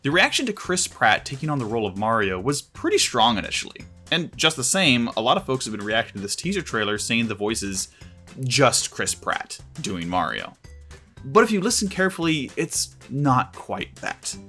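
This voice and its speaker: A man delivering scripted commentary as a video reviewer, in a measured, articulate way with emphatic phrasing. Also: mid-range voice, sing-song, pushed and bright, soft background music.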